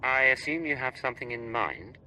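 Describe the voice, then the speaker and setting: An actor playing a cool, confident secret agent in a video game, terse and smoothly self-assured. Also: male voice, mid-range voice, fairly quick, sharp tone, a little hiss or hum, rough recording. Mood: businesslike.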